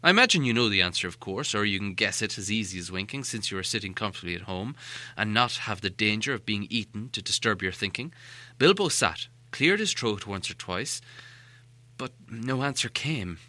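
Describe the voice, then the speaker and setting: Guy narrating a storybook, really expressive, sharp bright voice, no room echo. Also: on the low side, brisk. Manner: expressive, animated. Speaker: audiobook narrator.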